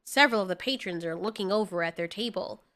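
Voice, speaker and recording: A woman's voice: mid-range voice, fast talker, a bit bright. A woman reading scripted narration for an audio drama in a dramatic storytelling manner.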